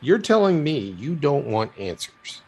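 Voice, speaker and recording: A man's voice: fairly high pitch, very animated, unhurried, a little mellow, exaggerated voice. An actor playing an inquisitive, obsessive male writer with a wry, pedantic manner in naturalistic audio drama dialogue. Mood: earnest, driven.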